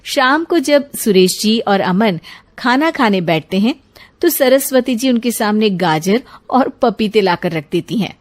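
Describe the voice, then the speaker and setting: High-voiced woman narrating a story. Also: lively intonation, measured pace. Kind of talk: scripted moral story narration.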